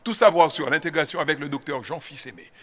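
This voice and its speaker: A man's voice: a little high, quick pace, a bit bright. A man reading a promotional radio advertisement as its announcer, listing services and contact details.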